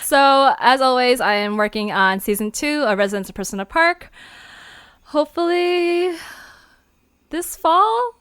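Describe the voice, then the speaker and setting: A woman's voice: high-pitched, animated, a little slow, a bit bright, clean tone, no echo. A woman hosting a fiction podcast, chatting casually in a playful, informal way. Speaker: host and creator of a fiction podcast. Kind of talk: casual chat.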